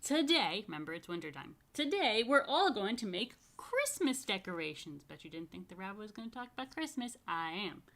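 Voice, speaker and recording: A woman rabbi reading a picture book aloud to children, expressively stretching key words and adding chatty asides. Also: medium pitch. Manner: expressive, dramatic emphasis and drawn-out words. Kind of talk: animated storytime read-aloud with chatty asides.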